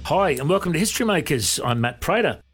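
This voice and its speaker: A man's voice: fairly high pitch, very animated, fast talker, loud music behind the voice. A man hosting a radio interview in a conversational style, putting questions to his guest.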